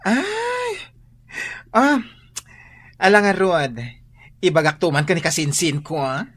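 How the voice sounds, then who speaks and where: An actor voicing an excitable, gossipy neighbour in a radio drama, with an Ilocano accent and exaggerated exclamations. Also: mid-range voice, slow, husky, full character voice. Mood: animated.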